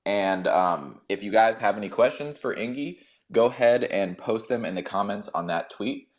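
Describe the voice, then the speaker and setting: A man co-hosting a casual interview, relaxed and friendly and using fillers. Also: on the low side, normal speed. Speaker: co-host.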